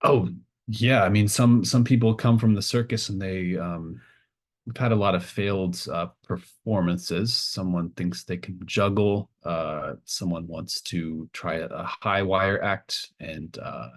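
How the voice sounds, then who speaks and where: A man's voice: deep voice, a little slow. A man playing a stammering, apologetic small-town diner cook in an improvised tabletop roleplay. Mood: rambling, folksy. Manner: stammering, hesitant, rambling.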